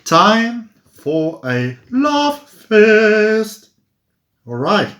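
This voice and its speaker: Upbeat male tarot reader, a touch high-pitched, loose and chatty. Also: big pitch swings, drawn-out words, a bit bright. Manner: playful, chatty, laughs often. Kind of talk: casual tarot reading monologue.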